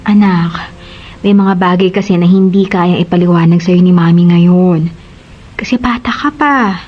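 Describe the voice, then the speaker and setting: Woman acting gentle mother, muffled over music. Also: lowish voice, steady tone, measured pace, natural voice. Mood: apologetic, evasive. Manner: soft, emotional, reassuring. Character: gentle, apologetic, loving mother of young child. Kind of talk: Tagalog radio drama acting.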